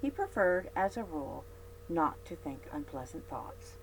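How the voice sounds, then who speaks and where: A woman librarian reading a children's chapter book aloud, expressive, dropping to whispers and adding chatty asides. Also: deep voice, measured pace, a little hiss or hum, rough recording. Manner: friendly chatty commentary between passages.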